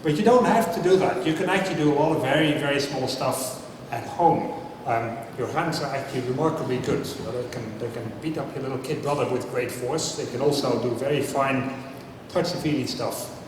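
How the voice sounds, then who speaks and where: A man in his fifties gives a relaxed, self-deprecating talk before a live audience and keeps asking the crowd questions. His voice booms around a large, echoing hall and is picked up from some distance. A clear layer of noise sits under the speech. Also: fairly quick, hardly any pauses. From Netherlands. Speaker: speaker at a science talk night. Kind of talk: casual public talk with audience banter. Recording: echoey hall, mic from afar, noisy.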